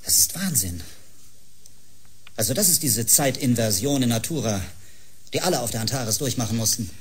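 An actor playing a courteous, placating professor who leads a space project, in a science-fiction radio drama. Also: male voice, mid-range voice, lively intonation, breathy edge. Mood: concerned. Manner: polite, measured, placating.

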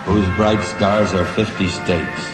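A man with a low voice gives a slow, solemn patriotic recitation. The recording is roomy and archival, with loud music swelling underneath it. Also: flat delivery. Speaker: narrator.

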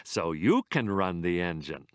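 A man voicing an indulgent railway engineer father talking to his small son in a storybook reading, fond and deliberate. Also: deep voice, very animated, clean tone, no echo, clean studio sound, pitched below the performer's own voice.

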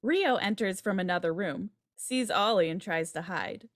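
A woman's voice: medium pitch, moderate pace, dry recording. Woman reading stage directions, dry sound. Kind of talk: scripted narration. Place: indoor room at home on video call. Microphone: video call.

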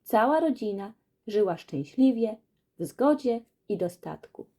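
Female storyteller, fairy tale narration, lively intonation, soft mellow tone. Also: fairly high pitch, measured pace.

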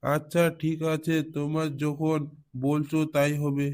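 A man's voice: a little high, monotone, unhurried, a little mellow, close to an everyday voice. A man voicing a mild, easily persuaded elderly village grandfather in a comic cartoon. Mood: agreeable. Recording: music behind the voice.

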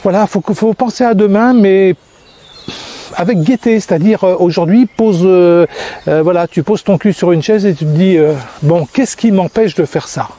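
A man's voice: high-pitched, moderate pace, dark tone. A man in his sixties, a crêpe-making trainer, talking casually to a phone held at arm's length while walking in a park, rambling with many fillers and restarts. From France. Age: 60s. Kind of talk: casual vlog monologue. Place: park outdoors. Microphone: phone at arm's length, selfie framing.

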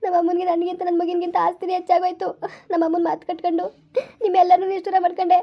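A woman's voice: very high voice, completely flat delivery, very fast, dull audio. A woman voicing a desperate young mother who cries and pleads over her sick son. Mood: sobbing. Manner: tearful, pleading, sobbing. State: crying.

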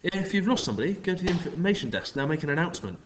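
A man's voice: high voice, big pitch swings, rapid-fire, a little hiss or hum, pitched above the performer's own voice. A man voicing a sly adult male stranger who sounds falsely friendly and slick, in a storybook reading.

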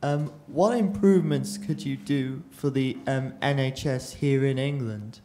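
A man's voice: medium pitch, unhurried, dark tone, a little hiss or hum. A young male student with a Welsh accent, from South Wales, asking a hesitant question into a handheld mic. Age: young adult. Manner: hesitant, with ums. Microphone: handheld mic in the audience.

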